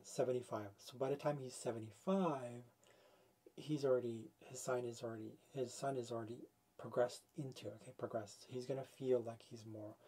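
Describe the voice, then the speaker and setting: A man's voice: medium pitch, mellow, slightly rough. A man in his forties talking casually to camera about astrology, thinking aloud and restarting as he works out sums. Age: 40s. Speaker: astrology video host. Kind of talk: casual explanatory talk to camera. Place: small room with foam panels, indoors.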